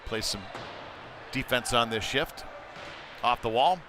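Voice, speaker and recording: A man's voice: medium pitch, slow. Male hockey commentator over noisy rink sound. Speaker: play-by-play commentator. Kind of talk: live ice hockey commentary. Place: indoor ice rink. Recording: noisy rink ambience behind voice.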